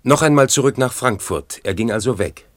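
A man playing a sober commentator in a literary radio play, narrating in a measured, matter-of-fact way. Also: medium pitch, lively intonation, dry recording, natural voice. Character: sober male commentator recounting a poet's life.

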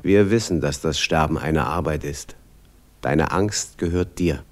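An actor playing a grim revolutionary tribunal speaker in a solemn, declamatory stage recital. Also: male voice, very deep voice, a little slow, soft background music. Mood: grave, stern.

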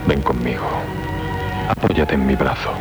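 An actor playing an ardent, resolute young knight in declamatory, earnest 1970s radio theatre with a Castilian Spanish accent. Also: male voice, very deep voice, steady tone, moderate pace, music in the background.

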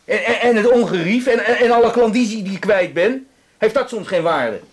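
An indignant cinema owner in a radio play, high-voiced and swooping. He stammers, repeats himself and grumbles with wounded self-pity. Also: animated, breathy edge. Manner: stammering, halting, repeating words. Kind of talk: radio drama acting.

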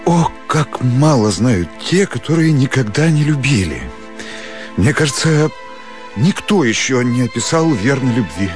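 An actor playing an ardent, earnest gentleman in love, declaiming with theatrical passion in a radio drama. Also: medium pitch, animated, faint music behind, close to an everyday voice. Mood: ardent, pleading. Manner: impassioned, declamatory, drawn-out pleading.

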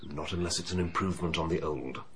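An actor playing an older, complacent upper-class English banker in a radio drama, genial, assured and bluff, with a well-bred English accent. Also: male voice, low-pitched, fast, fairly bright tone, a little hiss or hum. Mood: firm, dismissive.

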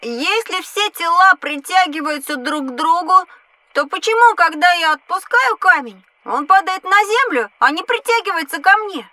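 A cheeky, argumentative schoolboy with a high-pitched voice. He speaks in animated slang, sure of himself, as in an educational audio play. The recording is close and dry. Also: normal speed, dry recording, heavily acted. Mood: puzzled. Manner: animated, colloquial, cocksure. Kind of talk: scripted educational audio drama.

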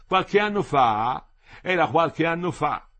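A man's voice: on the high side, expressive, slow pace, fairly bright tone, pitched above the performer's own voice, heavily acted. A man doing a defiant bricklayer. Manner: challenging, pointed. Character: bold young male bricklayer, defiant.

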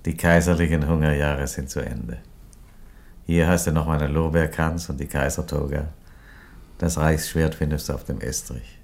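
Very deep-voiced, ironic Roman emperor. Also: male voice, steady tone, vocal fry, a little mellow. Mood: calm, satisfied. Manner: measured, theatrical, lingering on phrases. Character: ironic, dignified Roman emperor resigning his empire, male. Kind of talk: German radio play stage acting.

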